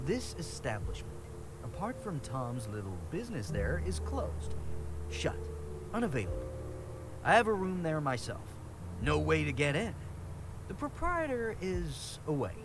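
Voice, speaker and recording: Eccentric, wildly expressive chatty man. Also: a little high, unhurried, music in the background. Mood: emphatic. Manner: theatrical, effusive, emphatic. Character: chatty, eccentric male lodger outside a closed inn. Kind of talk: video game voice acting.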